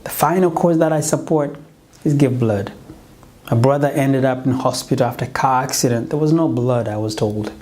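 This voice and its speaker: A middle-aged man from Uganda, not a native English speaker, giving an earnest motivational pitch as a business coach, often restarting and correcting himself. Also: moderate pace, darkish tone.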